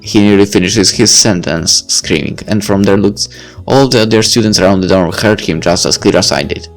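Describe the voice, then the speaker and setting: A man's voice: fairly low pitch, normal speed, soft background music, rough recording. A young man reading a visual novel aloud, playfully breaking off to joke and comment. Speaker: let's-play reader.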